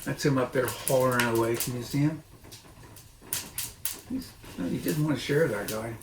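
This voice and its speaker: A man reading a children's book aloud expressively and haltingly, with dramatic pauses and informal asides. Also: medium pitch. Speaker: storybook reader. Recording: echoey room, noisy.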